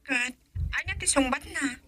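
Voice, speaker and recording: A woman's voice: high-pitched, normal speed, sharp tone, no room sound. A Filipino woman acting in a radio drama, playing a concerned, questioning woman.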